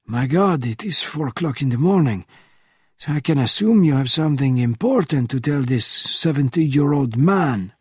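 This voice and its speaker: A man's voice: medium pitch, lively intonation, moderate pace, darkish tone, slightly rough, no room sound. A man voicing a wry elderly Frenchman. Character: elderly male French agent, wry and calm.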